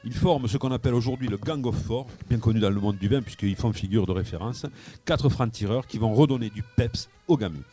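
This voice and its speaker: A man's voice: medium pitch, fairly quick, hardly any pauses, warm tone. A man telling a wine anecdote on live radio from a wine shop, with frequent hesitations and repeated words. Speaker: wine columnist. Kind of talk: radio wine chronicle, storytelling.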